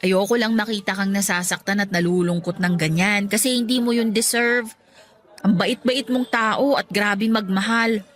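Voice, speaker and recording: A woman with a Filipino accent playing a warm, self-deprecating single mother in a radio drama, speaking expressively. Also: mid-range voice, moderate pace, close to an everyday voice. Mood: encouraging, tender.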